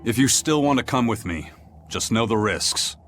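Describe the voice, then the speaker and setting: An actor playing a grim, fatalistic pirate in a video game, calm and measured, with a blunt, ominous delivery. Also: male voice, medium pitch, faint music behind, natural voice. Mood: grave warning. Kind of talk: English video game voice acting.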